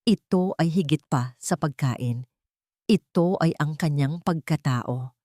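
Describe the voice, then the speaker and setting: Female Filipino narrator, lowish voice, dry close sound, measured and sentimental storytelling. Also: darkish tone, dry recording. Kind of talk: scripted dramatic story narration.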